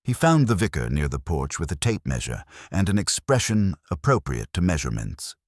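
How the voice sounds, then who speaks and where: Male narrator, extremely low voice, expressive range, dry measured storybook reading of detective fiction. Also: no room sound. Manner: measured, dry, literary delivery. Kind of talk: scripted audiobook narration of a mystery story.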